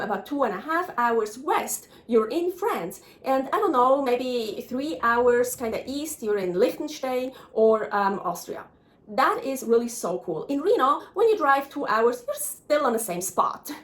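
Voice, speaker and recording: High-voiced Swiss German woman, casual vlogger. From Switzerland. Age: 40s. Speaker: travel vlogger. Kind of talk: casual personal vlog narration.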